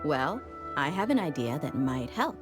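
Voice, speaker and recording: A woman's voice: sits low, very animated, moderate pace. A woman playing a plain-spoken, loyal young female bodyguard in a video game, casual and slightly awkward. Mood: earnest, guarded. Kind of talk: English video game voice acting. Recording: music playing behind the voice. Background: game music.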